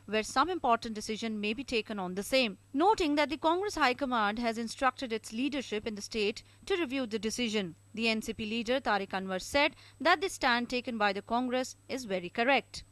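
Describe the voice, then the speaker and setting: Indian woman news reporter, slightly high voice, scripted narration, dry close sound. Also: fairly quick, few pauses, a bit bright, no echo.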